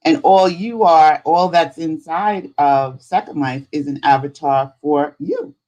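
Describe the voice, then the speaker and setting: A woman hosting a live spirituality stream, chatting casually in an unhurried, rambling way. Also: low voice, dark tone, dull audio. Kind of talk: casual livestream chat.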